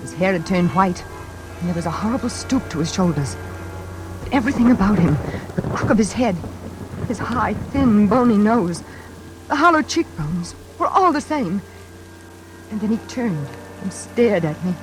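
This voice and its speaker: An actress in a 1940s radio suspense drama playing an anxious, frightened woman in her thirties, speaking haltingly and dramatically. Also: medium pitch, animated, natural voice, rough recording. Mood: dread. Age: 30s. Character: frightened single woman haunted by her mother's killer. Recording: old radio recording, faint music behind.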